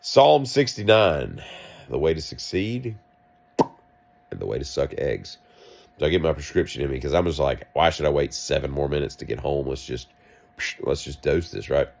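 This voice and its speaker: A man with a deep voice, sick and coughing, telling a rambling, wry story in a vlog. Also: lively intonation, normal speed, creaky, darkish tone. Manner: wry, self-mocking, meandering asides. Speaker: vlogger.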